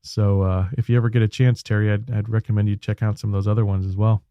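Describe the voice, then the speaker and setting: A man hosting a radio interview show, chatting casually and warmly with his guest, with fillers and restarts. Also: on the low side, flat delivery, fast, dry recording, highs cut off.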